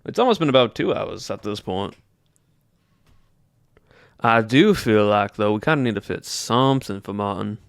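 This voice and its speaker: A man's voice: fairly low pitch, lively intonation, moderate pace, gravelly, long pauses, clear, resonant tone, dry recording. A man streaming live and chatting casually with viewers in a put-on Southern accent, musing aloud in a loose drawl. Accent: put-on American Southern. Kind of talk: casual livestream chat with viewers.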